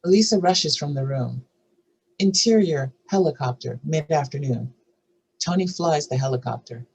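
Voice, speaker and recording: Narrator, husky tone, medium pitch, scripted stage directions, table read delivery. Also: normal speed, a little mellow, rough recording.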